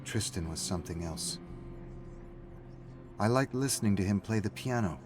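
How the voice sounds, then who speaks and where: A man's voice: fairly low pitch, lively intonation, moderate pace, darkish tone. A man reading a story aloud as a scripted, dramatic narrator. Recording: music playing behind the voice. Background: faint background music.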